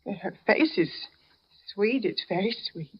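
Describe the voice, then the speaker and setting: An actress playing a naive, romantic, nervous bride with a theatrical, hesitant manner in a 1940s radio thriller. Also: female voice, mid-range voice, expressive, unhurried, slightly rough. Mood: frightened. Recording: dull, noisy 1940s radio recording.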